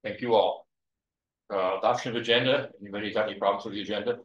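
A man chairing a city board meeting, easygoing and brisk, often repeating his words. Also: lowish voice, steady tone, a little hiss or hum. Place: council meeting room. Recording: echoey room, muffled sound.

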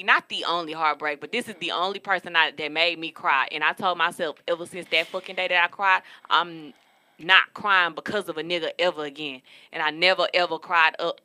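Bright-voiced young woman telling stories. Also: fairly low pitch. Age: 20s. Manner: candid, profane, storytelling. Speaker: podcast co-host. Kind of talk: casual chat. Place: studio room with a city backdrop.

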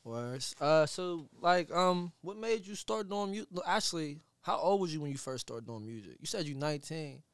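A man in his thirties hosting a podcast in a small studio, talking in a relaxed, slangy way into a boom mic. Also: normal speed, few pauses, clear, resonant tone, dry recording. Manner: laid-back slangy talk, relaxed hype. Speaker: podcast host. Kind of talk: casual interview. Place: small studio with foam wall. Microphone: studio mic on boom arm, headphones.

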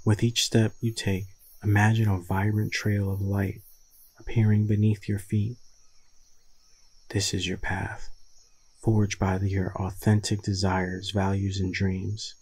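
Husky, low-voiced man guiding meditation. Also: level tone, a little mellow, poor audio. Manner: soothing, deliberate, with long pauses. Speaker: meditation guide. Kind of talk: guided meditation.

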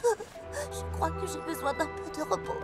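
A woman's voice: very high-pitched, big pitch swings, measured pace, heavily acted. A woman voicing a brash, competitive young female pegasus pony in a French cartoon dub, with a dramatic delivery. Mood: whining, feigned pain. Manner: dramatic, hesitant when cornered. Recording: music bed under the dialogue.

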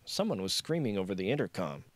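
A man's voice: lowish voice, sing-song, quick pace, dry recording. A man reading scripted narration for a thriller audiobook.

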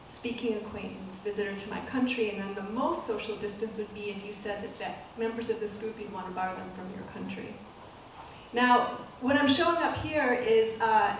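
Middle-aged female lecturer, soft-spoken, slightly high voice, picked up from afar in a very echoey auditorium with room noise. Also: distant mic. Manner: soft-spoken, measured, with frequent ums and restarts. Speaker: professor giving a public talk. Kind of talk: lecture to an audience. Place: dark auditorium stage with projector screen. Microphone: handheld mic, recorded from a far camera.

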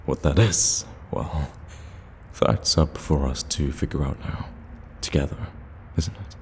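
A man playing a sly, courteous warlock shopkeeper in an ASMR fantasy roleplay, addressing the listener with teasing, soft-spoken flattery. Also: a bass voice, slow pace, full character voice. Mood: sly, bargaining. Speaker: voice actor in an audio roleplay. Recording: cavernous reverb, ambient background noise.

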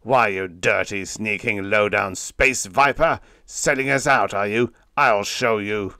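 A man voicing a gruff, blustering spaceship captain with a hot temper in a pulp space story, growling and bellowing. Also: fairly low pitch, measured pace, bright, forceful tone, dry recording, studio quality. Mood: furious.

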